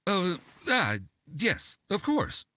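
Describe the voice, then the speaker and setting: A reserved, aloof game character, terse and halting. His voice is bright and forceful with lively pitch movement, over faint music. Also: full character voice. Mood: startled. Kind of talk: video game voice acting.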